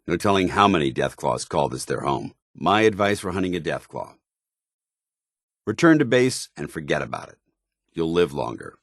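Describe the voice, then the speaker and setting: Deep-voiced, stern military paladin. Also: male voice, a bit bright, no room sound, studio quality, full character voice. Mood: grim. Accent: American. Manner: formal, clipped, earnest military delivery. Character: stern, zealous military paladin, loyal armored soldier companion. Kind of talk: video game companion dialogue.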